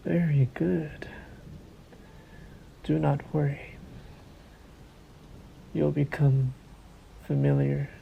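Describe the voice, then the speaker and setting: A man's voice: steady tone, phone-quality sound, a little hiss or hum, exaggerated voice. A man voicing a sadistic, possessive vampire master in an ASMR roleplay, coaxing and commanding in a condescending murmur that often sinks into a whisper. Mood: commanding, menacing. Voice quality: low gravelly murmur, often sinking into whisper. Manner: very slow, deliberate, coaxing and commanding.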